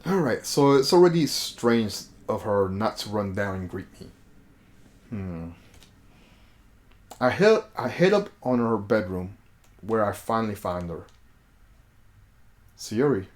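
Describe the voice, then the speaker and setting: A man's voice: fairly low pitch, big pitch swings, takes it slowly, pauses often. A man streaming a game, reading its text aloud with casual asides and sometimes stumbling over the words. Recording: background music behind voice.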